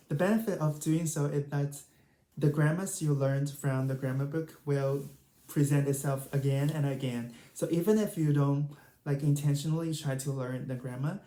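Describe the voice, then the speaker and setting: A woman's voice: low voice, dark tone. A woman with a Chinese accent, a native Chinese speaker, casually sharing language study tips as a vlogger. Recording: roomy, echoing sound.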